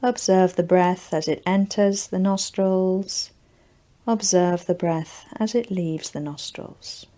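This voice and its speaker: A woman leading a guided yoga nidra relaxation, giving gentle, deliberate instructions in short, spaced phrases. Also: lowish voice, steady tone.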